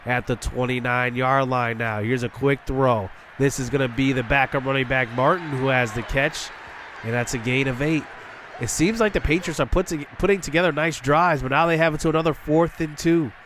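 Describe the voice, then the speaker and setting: A man with a congested voice giving excited play-by-play commentary on a football video game as a gaming channel host. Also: medium pitch, normal speed, slight background noise. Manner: excited play-by-play, shouting on big plays. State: congested from illness.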